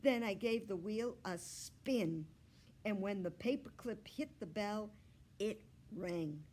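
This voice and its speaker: A woman narrates a children's story as a library storyteller. She reads at a slow, deliberate pace with animated, expressive pitch. Faint noise sits in the background. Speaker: library storyteller reading aloud. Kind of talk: children's story read-aloud.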